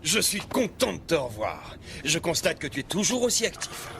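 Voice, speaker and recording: An actor voicing a dignified, patient immortal mentor in a dubbed cartoon, with a formal, instructive manner. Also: male voice, a little high, very animated, moderate pace, gravelly, noisy background. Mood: earnest. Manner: formal, didactic. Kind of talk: French-dubbed 1990s cartoon acting. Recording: loud music behind the voice.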